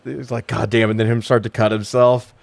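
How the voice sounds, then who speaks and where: A man's voice: mid-range voice, flat delivery, a little fast, creaky, no room sound. A man co-hosting a casual movie-review show, recapping plots in a sweary, irreverent, joking way. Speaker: podcast co-host.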